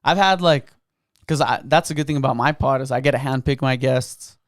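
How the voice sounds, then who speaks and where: A young man with a New Mexico accent hosting a podcast in his small studio, talking loudly and casually into a studio mic. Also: medium pitch, fairly quick, no room sound. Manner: loud, animated, says bro and dude a lot. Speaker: podcast host. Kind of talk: casual chat.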